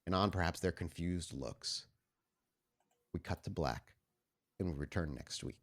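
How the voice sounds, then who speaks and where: A man's voice: low voice, measured pace. A man narrating a tabletop role-playing game as its storyteller, in a dramatic style.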